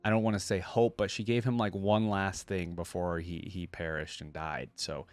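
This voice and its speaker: A man's voice: low-pitched, flat delivery, moderate pace, darkish tone, no echo. A man hosting a reaction video with informal, conversational commentary. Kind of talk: casual commentary and story read-aloud.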